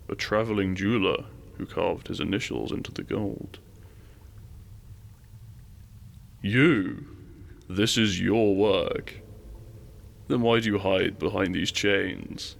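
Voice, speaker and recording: A man playing an imperious, possessive dragon king in a romantic fantasy audio roleplay, speaking with formal, commanding courtesy and a gravelly voice. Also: low voice, exaggerated voice. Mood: suspicious, imperious. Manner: formal, commanding, deliberate menace with seductive courtesy.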